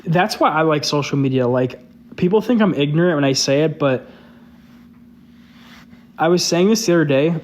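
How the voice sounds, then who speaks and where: A man's voice: on the high side, normal speed, long pauses. A young man chatting casually on a live stream, loose and candid, filling his talk with "like". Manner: loose and candid, heavy use of "like". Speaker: social media creator hosting a live stream.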